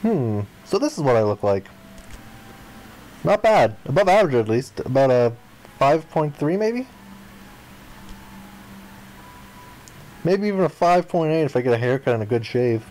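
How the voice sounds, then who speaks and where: A man voicing a flippant, grandiose young amnesiac castaway, reading visual novel dialogue aloud in an expressive way. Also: medium pitch, moderate pace, a little hiss or hum, natural voice, low-quality recording. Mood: breezy, joking. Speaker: video game player reading aloud. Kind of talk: dramatic read-aloud of visual novel text.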